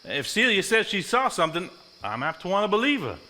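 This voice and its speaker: An actor in a live stage play playing a supportive, reasonable family man in a measured, folksy manner. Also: high voice, big pitch swings, sharp tone, slight background noise, heavily acted. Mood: sincere.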